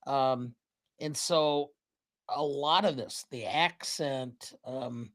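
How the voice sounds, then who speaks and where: A podcast co-host in casual chat, talking in a halting way with many ums and uhs. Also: medium pitch.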